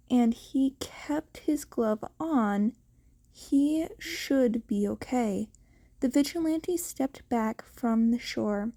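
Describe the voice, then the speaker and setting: A woman reading scripted fiction aloud as an amateur audiobook narrator, with a halting delivery and small stumbles. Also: fairly high pitch, dark tone.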